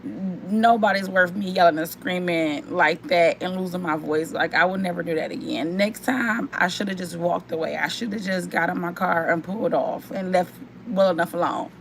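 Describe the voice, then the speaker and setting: A young woman vlogger with a hoarse, worn-out voice, chatting and rambling straight to her viewers. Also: lowish voice, normal speed, few pauses, a bit bright, slight background noise. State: hoarse, voice mostly gone. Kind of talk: casual chat to viewers.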